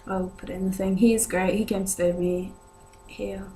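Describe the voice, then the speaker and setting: A woman's voice: mid-range voice, little pitch movement, moderate pace, warm tone. A young British woman with a sore throat chats casually and hesitantly to camera, rambling as she goes, in a vlogger's manner. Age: young adult. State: ill with a sore throat, voice lower than usual. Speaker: vlogger answering viewer questions. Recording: noisy home recording with hum.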